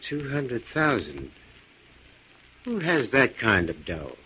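An actor playing a tough, wary moneylender in a 1940s radio crime drama, talking in blunt slang. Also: male voice, medium pitch, sing-song, slow pace, slightly rough, heavily acted. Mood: skeptical. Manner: blunt, slangy, hard-bargaining. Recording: muffled old 1940s radio recording.

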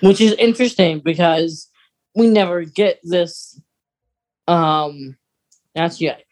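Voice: mid-range voice, slow, darkish tone. A podcast co-host explaining things in a casual, conversational style.

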